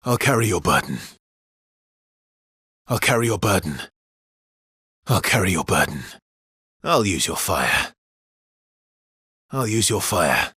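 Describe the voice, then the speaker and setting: Battle-hardened immortal swordsman for a video game: lowish, deliberate, intense, breathy and husky, terse dramatic combat calls. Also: sing-song, pushed and bright, no room sound, studio quality, heavily acted. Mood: grimly determined. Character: weary, battle-hardened immortal male swordsman, grimly determined.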